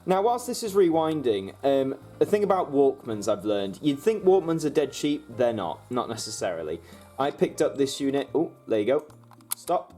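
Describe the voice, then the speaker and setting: A man's voice: medium pitch, sing-song, moderate pace, dark tone. British man chatting over background music. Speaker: vlogger presenting a guide. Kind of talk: casual explainer to camera.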